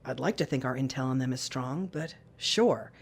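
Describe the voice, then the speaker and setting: A woman's voice: very deep voice, moderate pace, slightly rough, exaggerated voice. An actress playing an authoritative, probing female intelligence chief in an audio drama, direct and commanding. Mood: grave, confiding.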